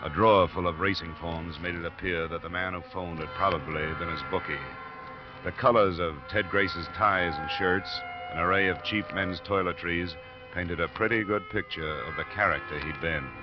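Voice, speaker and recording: A man playing a steady, matter-of-fact investigator in a 1950s radio drama, with a dry, measured, plain-spoken delivery. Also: sits low, over music, natural voice. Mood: dry narration. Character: steady, matter-of-fact investigator, narrator of his own case. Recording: muffled old radio broadcast recording.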